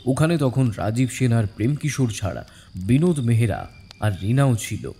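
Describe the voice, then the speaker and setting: A man's voice: mid-range voice, big pitch swings, normal speed, mellow, faint music behind. A man narrating a scripted detective story in a dramatic storytelling style.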